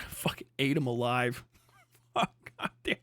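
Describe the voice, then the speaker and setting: A man's voice: medium pitch, animated, slow pace, fairly bright tone, dry recording. A man livestreaming casual reaction commentary, ranting off the cuff in a profane, mocking, rambling way. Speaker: livestream host.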